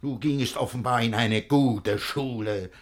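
An actor playing a jealous, possessive older guardian in a classic radio play, declaiming verse theatrically. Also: male voice, mid-range voice, unhurried, natural voice. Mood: outraged.

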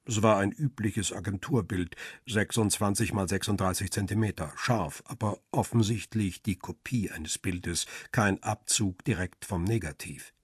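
An actor playing a paparazzo who narrates his own story in a radio crime play, measured, observant and descriptive. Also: fairly low pitch, breathy edge, no echo, studio quality. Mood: detached, precise. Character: world-weary male paparazzo recounting his story, coolly observant. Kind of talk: scripted first-person radio drama narration.

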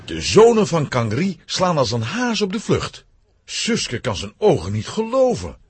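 A man narrating a children's adventure story in a scripted, dramatic, expressive style with a husky voice. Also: a little high, unhurried, no echo.

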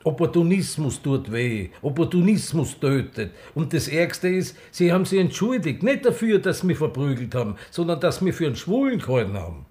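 Defiant older Austrian man, animated. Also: fairly high pitch, a little fast, hardly any pauses, husky, no echo. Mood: bitter, emphatic. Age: 70s. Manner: frank, theatrical. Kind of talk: radio drama, intimate storytelling.

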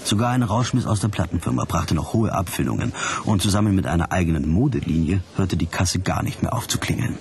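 An actor playing a hard-boiled investigator who narrates his case in a radio drama, sardonic and deliberate. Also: sits low.

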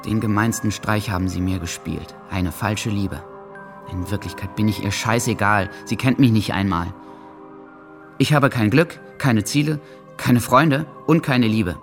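A man's voice: fairly low pitch, unhurried, gravelly, faint music behind. An actor playing a lonely, lovelorn teenage boy, mournful and self-pitying, in a German radio drama. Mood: bitter self-pity. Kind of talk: German radio docudrama monologue.

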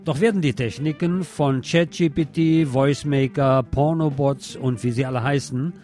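A man's voice: medium pitch, unhurried, over loud music. A man hosting a radio programme, reading news and commentary with wry, opinionated asides. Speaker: radio host.

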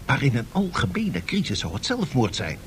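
A man's voice: mid-range voice, wildly swooping pitch, moderate pace. An actor playing a calculating, cool-headed financier in a radio drama, explaining his scheme in a businesslike manner. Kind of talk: 1970s Dutch radio drama dialogue.

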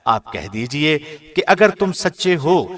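A man giving a formal, scripted reading of a scripture translation in a measured, solemn manner. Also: a little high, expressive, a bit bright. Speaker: translation reader, radio host.

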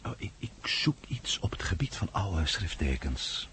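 Low-voiced, impatient husband. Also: male voice, big pitch swings, fairly bright tone, slight background noise, exaggerated voice. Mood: weary, distracted. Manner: impatient, muttering, quick to grumble. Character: headstrong, irritable husband and amateur investigator of ancient signs. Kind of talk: radio drama acting.

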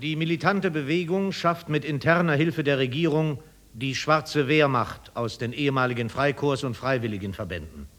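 An actor playing a chronicler who reports in formal, declarative documentary style within a radio drama. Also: male voice, mid-range voice, normal speed, a bit bright, natural voice. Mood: formal, emphatic. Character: male newsreel-style chronicler of 1920s Germany.

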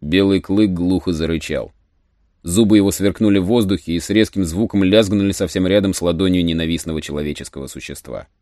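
A man narrating an adventure audiobook in a measured, literary storytelling manner. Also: low voice, dark tone, no room sound, studio quality.

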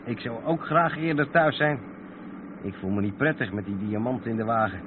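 A man's voice: medium pitch, moderate pace, natural voice. A man acting in a radio play as an experienced, practical and uneasy truck driver. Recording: noisy phone-like band-limited old radio play recording.

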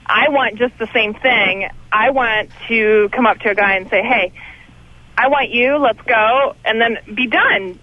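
A woman's voice: fairly high pitch, a little slow. A woman from Sweden, a guest on a radio talk show, chatting frankly and playfully. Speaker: studio guest. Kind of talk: casual radio banter. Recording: dull dry studio sound.

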